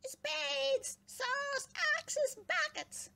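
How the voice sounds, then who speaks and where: Give this to a small, excitable woodland creature from a storybook. The voice is extremely high and piercingly bright, with a gravelly creak at the edges. It exclaims urgently, stretching the words out very slowly. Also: female voice, exaggerated voice. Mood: alarmed, urgent.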